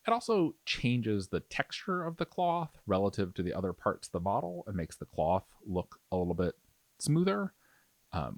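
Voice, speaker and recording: A man's voice: lowish voice, no room sound. A man narrating a hands-on hobby tutorial in a casual, explanatory, easygoing way. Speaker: miniature painting tutorial host. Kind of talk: casual instructional narration over demonstration.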